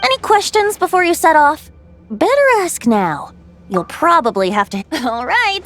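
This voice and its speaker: A woman playing a calm, playful female guide telling old lore in a video game, measured and poised. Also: high-pitched, soft background music, full character voice. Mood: gently teasing. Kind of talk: scripted game dialogue, storytelling.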